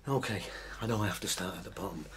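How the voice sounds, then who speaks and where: An actor in a radio drama playing an evasive, restless husband pleading his case, with a husky voice. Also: low-pitched, fast talker, very noisy, natural voice. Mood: defensive, frustrated.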